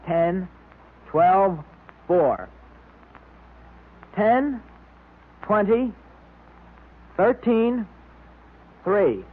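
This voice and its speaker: A performer playing an eager, earnest boy club leader on a vintage radio show, speaking deliberately. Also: sits high, heavily acted. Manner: deliberate, reads out codes word by word. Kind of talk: 1930s radio club announcements. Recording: tinny old radio recording.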